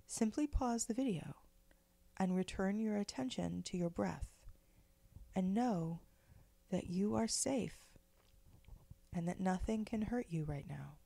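A woman leading a guided meditation in a gentle, measured, soothing way, with pauses between phrases. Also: medium pitch, lively intonation, creaky, dry recording. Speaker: guided meditation leader, spiritual director.

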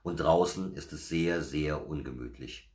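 A man's voice: rumbling low, unhurried, darkish tone. A man voicing a motherly, self-satisfied female bear who explains things patiently in a children's storybook reading. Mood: patient, explaining.

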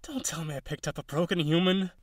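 Man acting as an eager, higher-voiced young harpy suitor. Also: steady tone, fast talker, dry recording, studio quality, lightly acted. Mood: frustrated pleading. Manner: earnest, rambling, nervous, pleading. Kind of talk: audio roleplay, speaking directly to listener.

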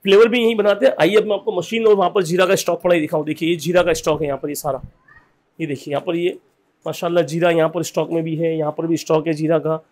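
Fast, high-voiced male presenter. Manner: energetic, upbeat presenter. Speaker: company representative host. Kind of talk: on-site customer review video. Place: small bottling room and shop.

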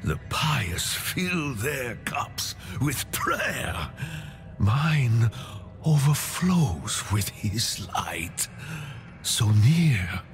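A fervent believer speaks very slowly, with wide swings of pitch and reverent intensity. It is a rapturous game cinematic monologue with music behind it. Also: male voice. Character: fervent, rapturous male religious devotee narrating a prophecy.

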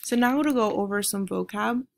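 A woman's voice: medium pitch, normal speed, vocal fry, a little mellow. A young adult woman from the United States, a teacher giving a recorded online mini-lesson over slides, explaining step by step with frequent ums and "right?" checks. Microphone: computer microphone, voice-over on screen recording.

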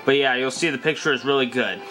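A man's voice: mid-range voice, quick pace, music in the background. A young adult man narrating a casual hands-on demo in a plainspoken, offhand way, recorded in a room at home from behind the camera. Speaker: gadget demonstrator.

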